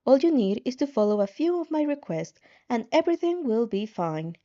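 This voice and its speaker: Woman voicing a calm, controlling system guide, mellow. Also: a little high, brisk, highs cut off. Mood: pacifying. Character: calm controlling game-like system guide, coaxing yet menacing. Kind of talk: fan fiction character reading.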